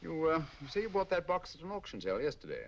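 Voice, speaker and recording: Brief: sharp British detective, quick lively delivery, crisp questions, muffled old film sound. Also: male voice, fairly high pitch, exaggerated voice. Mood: probing. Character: sharp, inquisitive male detective. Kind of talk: 1940s film acting.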